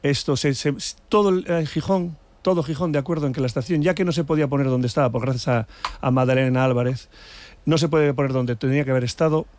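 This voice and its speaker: A man's voice: medium pitch, quick pace, darkish tone, slight background noise. A man debating on a panel in an indignant, emphatic way, repeating words for emphasis. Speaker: panelist in a political discussion. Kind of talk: casual, opinionated debate.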